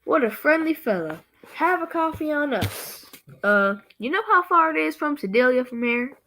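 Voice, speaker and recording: A woman's voice: sits high, very animated, normal speed, fairly bright tone, heavily acted, poor audio. A teenage girl acting a bossy, menacing male gang boss in a student radio play. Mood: sly, casual. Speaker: student actor.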